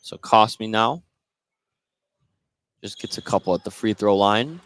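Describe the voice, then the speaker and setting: A man's voice: fairly low pitch, measured pace, a bit bright. An American man doing live radio play-by-play commentary of a basketball game as it unfolds. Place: indoor basketball gym during a game.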